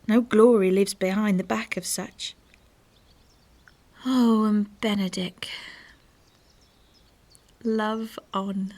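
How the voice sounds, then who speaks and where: A woman playing a proud, witty gentlewoman softened by love, speaking Shakespearean verse earnestly in a radio play. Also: a little high. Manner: earnest, heartfelt, measured.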